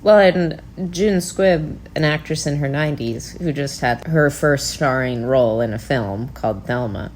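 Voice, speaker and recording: Female podcast co-host, deep register for a woman, casual chat, slight background noise. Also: darkish tone.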